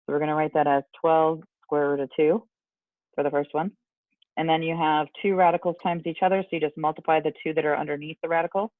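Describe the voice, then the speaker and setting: A man's voice: a little high, flat delivery, quick pace, no echo. A man teaching a casual online math lesson, chatty with rambling asides, his voice scratchy from allergies. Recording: dry, tinny phone-like sound.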